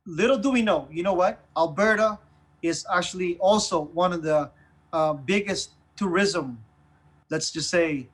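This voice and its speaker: A man co-hosting a casual current affairs chat, haltingly repeating phrases and checking in with short tag questions. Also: high voice, fairly bright tone, low-quality recording. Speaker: talk show co-host. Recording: music bed behind the voice. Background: music.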